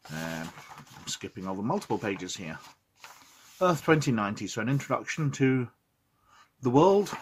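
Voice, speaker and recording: A man's voice: medium pitch, a little hiss or hum. A man with a head cold, sniffly, hosting a casual review of an old roleplaying game book and reading its text aloud, with chatty asides and frequent "uh"s. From Scotland.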